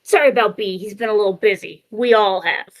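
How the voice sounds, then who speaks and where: A woman's voice: medium pitch, fairly quick, natural voice. A woman voicing brash, cocky robots in an amateur comic dub, with informal, punchy delivery. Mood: chatty, brisk.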